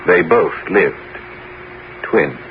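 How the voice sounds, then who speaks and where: A crafty persuasive bishop, low voice that swings around a lot, old 70s radio play. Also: male voice, lively intonation, exaggerated voice. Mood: confiding. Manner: measured, persuasive, theatrical. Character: scheming, persuasive bishop, calm and calculating kingmaker. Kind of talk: 1970s radio drama acting. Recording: dull, noisy 1970s radio broadcast.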